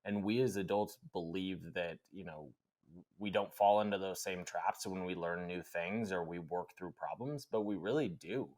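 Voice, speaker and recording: A man co-hosting a casual podcast conversation, speaking in a relaxed, reflective way and often filling with "like" and "right?". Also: deep voice, normal speed. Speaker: podcast co-host.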